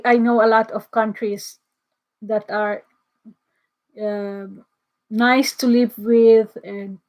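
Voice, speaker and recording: Chatty Filipino woman, slow and high. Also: darkish tone, rough recording. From Philippines. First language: Bisaya. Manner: chatty, laughs often, restarts sentences. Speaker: livestream host. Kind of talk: casual livestream chat reading comments.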